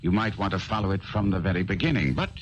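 Self-assured gentleman detective, very low voice, lively intonation, precise, 1970s radio drama. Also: fast, highs cut off. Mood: brisk, teasing. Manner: urbane, precise, dryly teasing. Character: brisk, courteous, self-assured male detective. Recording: faintly noisy 1970s radio broadcast.

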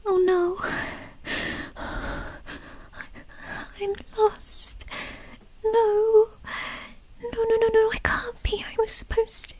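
High, whispery young fox-spirit girl, acted by a woman. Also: steady tone, a little mellow, no echo, full character voice. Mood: frightened, tearful. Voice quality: breathy, often whispery. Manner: halting, stammering, skittish. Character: young bratty female fox spirit, timid but defensive. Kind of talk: ASMR roleplay, scripted character acting.

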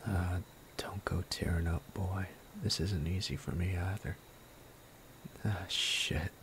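A man voicing a sadistic male bandit captor in an ASMR roleplay, low and gravelly, often whispering in a menacing, playful way. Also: steady tone, takes it slowly, fairly bright tone, a little hiss or hum, full character voice. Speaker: voice actor.

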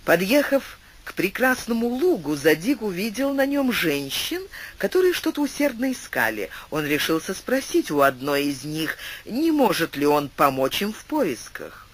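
A man reads literary prose as an audiobook narrator. His voice is pitched high for a man, and his delivery is expressive, with wide swings of intonation. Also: normal speed, husky.

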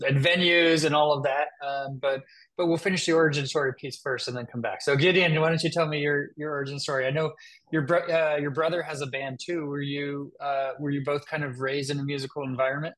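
A man in his thirties hosting a casual interview over a video call from his home, asking questions with halting restarts. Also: on the high side, steady tone, a bit bright, poor audio. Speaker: podcast host. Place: home room.

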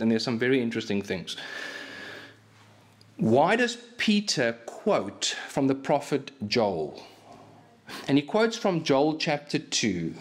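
A man preaches a sermon in a small church hall. His is Afrikaans, and he talks animatedly into a stand microphone. His thoughts tumble out with frequent restarts. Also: medium pitch. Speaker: pastor. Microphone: stand mic in front of him.

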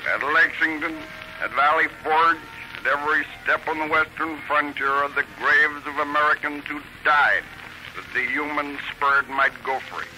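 Old radio, grave conservative elder statesman, really hard bright voice, speechifying. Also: fairly high pitch, moderate pace. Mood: solemn. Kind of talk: 1930s newsreel radio acting. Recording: noisy 1930s radio recording.

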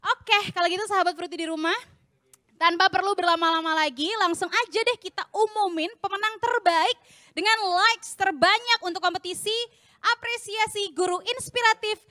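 A young woman hosts a live show from a studio stage. Her voice sits very high and bright, with a close, dry sound. She talks in a playful, chatty way and mixes English phrases into her hosting. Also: normal speed, no room sound. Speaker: host.

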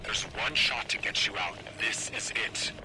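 An actor playing a calm, secretive male guide giving escape instructions in a video game. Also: lowish voice, a little slow, background noise, natural voice. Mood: urgent, hushed. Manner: instructing, controlled. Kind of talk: dramatic video game acting. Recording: loud music over the voice.

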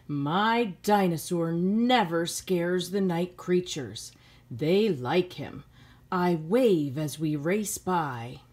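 A woman's voice: fairly low pitch, animated, very slow, a little mellow, husky. A middle-aged woman reading a children's picture book aloud expressively, with simple phrasing for young listeners.

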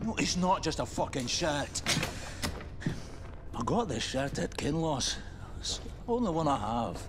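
An actor playing an irascible, sarcastic, foul-mouthed Scottish resistance fighter in a video game. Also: male voice, on the high side, very animated, measured pace, noisy background. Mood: exasperated. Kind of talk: video game cutscene acting.

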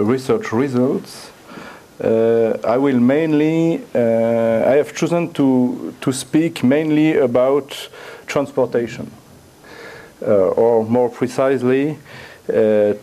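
Middle-aged male, French accent, unhurried academic lecture, hesitant and repetitive phrasing. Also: mid-range voice, mellow. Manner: halting, repeats words while searching for phrasing. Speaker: guest lecturer. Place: university lecture room, indoors.

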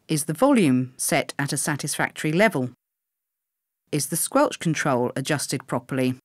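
A woman reading scripted instructional narration for pilot training in a measured, clearly enunciated way. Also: low-pitched, no echo, studio quality.

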